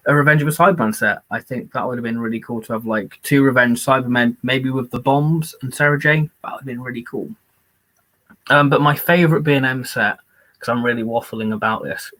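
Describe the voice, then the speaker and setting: A man at a middling pitch talks casually on a live-stream, rambling with lots of fillers. He is excited about his topic. His voice is going hoarse from talking. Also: low-quality recording. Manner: rambling and enthusiastic, many fillers. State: losing his voice.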